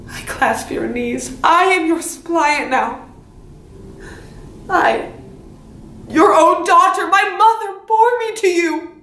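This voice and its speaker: A woman's voice: sits high, fairly bright tone, heavily acted. A woman acting a young princess in a Greek tragedy monologue, earnestly begging her father for her life. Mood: pleading. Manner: earnest, beseeching, theatrical delivery. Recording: roomy, faintly noisy audition recording.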